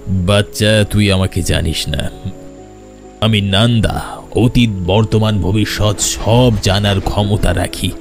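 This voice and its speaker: A man's voice: fairly low pitch, takes it slowly. A man voicing a stern, all-knowing occult holy man in a horror cartoon, speaking in a commanding, ominous manner. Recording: background music under the voice.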